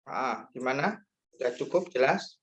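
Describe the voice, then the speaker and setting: A man's voice: mid-range voice, lively intonation, moderate pace, a bit bright. A man lecturing in an informal, chatty way, often checking in with questions during an online class discussion.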